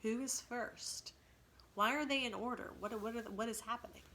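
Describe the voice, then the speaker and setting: A woman reading a storybook aloud as a teacher, in an expressive, instructive way. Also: a little high, moderate pace, vocal fry, a little hiss or hum. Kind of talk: storybook read-aloud with explanations.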